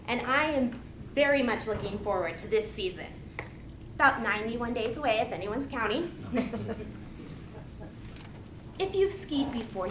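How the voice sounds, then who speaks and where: A woman giving a rehearsed storytelling speech to an audience, with theatrical pauses and repetition. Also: high voice. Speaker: speech contestant. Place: indoor meeting room. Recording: echoey room, distant mic, faint noise.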